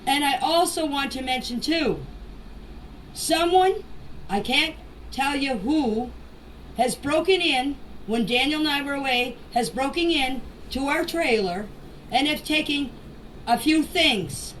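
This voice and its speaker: A mature woman giving an informal spoken statement in a deliberate, halting way, in short phrases, as a voice message. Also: high voice, expressive, a bit bright. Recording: distant noisy amateur voice clips.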